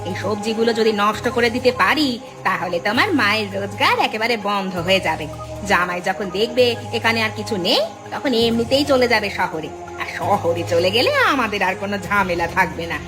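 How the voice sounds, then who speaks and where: Cartoon village wife female actor, calculating and urgent, mid-range female pitch, music under the voice. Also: lively intonation, normal speed, natural voice. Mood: scheming, impatient. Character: scheming, bossy village daughter-in-law, anxious about reputation. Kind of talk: cartoon drama voice acting. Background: prominent music.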